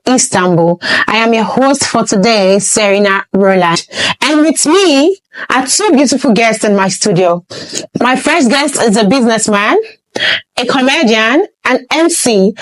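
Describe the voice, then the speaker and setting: A woman's voice: fairly high pitch, expressive, measured pace, poor audio. Woman hosting a studio talk show. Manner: formal and welcoming, asking guests questions.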